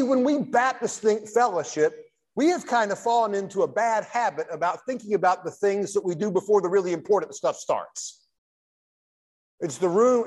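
High-pitched older man lecturing in a reverberant hall. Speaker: Baptist pastor, guest lecturer. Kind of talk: church lecture with preacherly delivery. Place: large church hall, speaking at a lectern.

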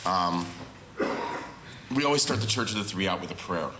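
A man's voice: low-pitched, animated, moderate pace, very noisy. A man in his thirties with a cold, sounding a little nasal, giving an earnest, slightly nervous talk and prayer from a church pulpit. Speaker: guest speaker. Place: church sanctuary at a pulpit, indoors. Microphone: far camera with pulpit microphone. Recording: roomy echo of a church hall.

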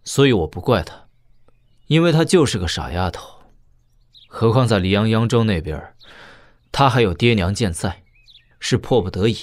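Low-voiced wry young prince played by a man. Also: expressive, normal speed, pauses often, no echo, close to an everyday voice. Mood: fond, rueful. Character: young princely heir, wry, coolly questioning. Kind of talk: audio drama dialogue.

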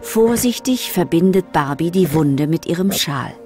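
A woman's voice: on the low side, lively intonation, unhurried, a little mellow, soft background music. A woman narrating a scripted children's audio drama as a storyteller.

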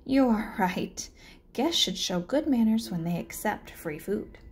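A woman's voice: medium pitch, expressive, measured pace, slightly rough. A woman voicing a humble, polite, hungry male turtle in a children's storybook. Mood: apologetic. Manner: earnest, polite. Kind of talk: children's storybook read-aloud.

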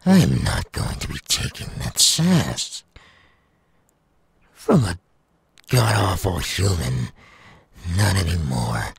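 A sadistic male demon villain for an audio roleplay. The voice is low and very raspy, and it takes its time with each taunt. He is menacing and hungry for human flesh. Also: very animated, no room sound, heavily acted. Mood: scornful. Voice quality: gravelly, raspy. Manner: slow, taunting, drawn-out delivery with wide swings. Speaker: voice actor.

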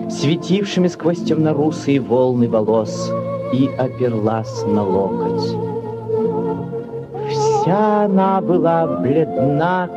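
A man narrating a literary tale for 1940s radio in a measured, expressive, theatrical manner. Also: fairly high pitch. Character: solemn, lyrical storyteller narrator. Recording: dull 1940s radio recording, loud music behind.

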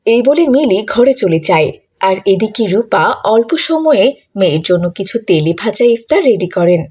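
A woman's voice: medium pitch, very animated, normal speed, darkish tone, breathy edge. A woman reading scripted narration for a cartoon story.

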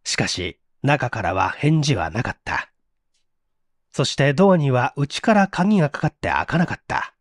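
A man's voice: medium pitch, very animated, normal speed, dry recording, clean studio sound. A man narrating a mystery story from a script in a theatrical, suspenseful manner. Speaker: audiobook narrator, stage actor.

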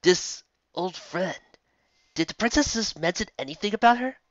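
A man's voice: high-pitched, lively intonation, moderate pace, a bit bright, no room sound, highs cut off, pitched above the performer's own voice. A man voicing a serious male pony guard in a fan storybook reading. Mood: grave.

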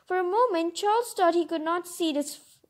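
A woman's voice: very high voice, normal speed. A woman reading a picture book aloud expressively, adding informal, enthusiastic asides of her own. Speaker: storybook reader and commentator. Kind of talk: read-aloud storytelling with chatty asides.

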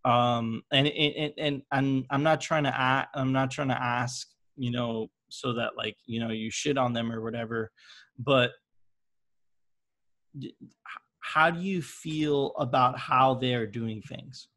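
A man's voice: mid-range voice, steady tone, measured pace, fairly bright tone. A young man chatting casually and informally in slangy English as a podcast interviewer. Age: young adult. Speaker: podcast host. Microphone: close desktop microphone with headset.

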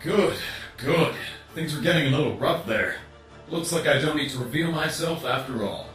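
A man's voice: a little high, normal speed, fairly bright tone, natural voice. A man voicing a secretive, fretful hidden observer muttering to himself in a comic dub. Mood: watchful. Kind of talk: amateur comic dub voice acting. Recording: roomy, distant, music behind.

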